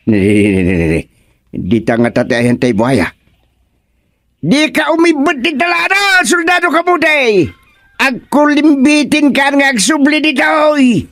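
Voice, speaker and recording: A man's voice: extremely high pitch, big pitch swings, measured pace, no room sound, soft background music, heavily acted. An actor with an Ilocano accent playing a bossy, blustering king in a radio comedy, taunting and exclaiming. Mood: exasperated. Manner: exclamatory, taunting, swooping between mutters and yells. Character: bossy, blustering male king scolding his cowardly soldier.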